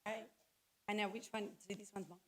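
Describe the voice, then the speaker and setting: A woman with a croaky, failing voice giving a chatty, self-joking conference talk. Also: mid-range voice, flat delivery, fast, heavy background noise. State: croaky, losing her voice. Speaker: conference speaker. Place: conference hall.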